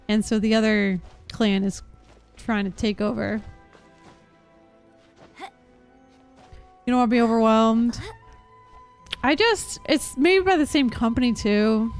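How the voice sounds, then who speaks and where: A young woman streams a game with a fairly high voice. Her talk is casual and rambling, often unsure and informal, with soft music playing behind her. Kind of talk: casual live stream chat.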